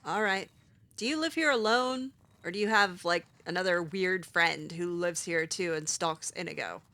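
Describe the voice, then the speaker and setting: A woman's voice: on the low side, moderate pace, pushed and bright. A woman voicing her sarcastic, clever young adventurer character in a game, teasing as she talks.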